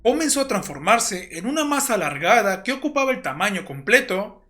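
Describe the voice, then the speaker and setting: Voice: medium pitch, very animated, brisk, pushed and bright, slight background noise. A narrator, presenting a scripted video essay in an explanatory storytelling way. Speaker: host and narrator of a horror analysis channel.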